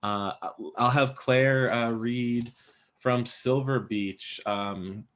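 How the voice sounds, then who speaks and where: A man's voice: medium pitch, fairly even intonation, takes it slowly, a bit bright. A man hosting a literary panel, moderating casually and handing over to authors. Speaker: host and moderator.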